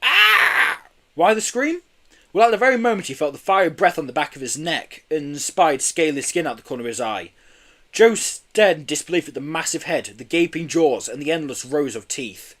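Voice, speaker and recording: A man's voice: on the high side, lively intonation, fairly quick. A man reading a children's storybook expressively, occasionally stumbling over his words. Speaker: audiobook reader.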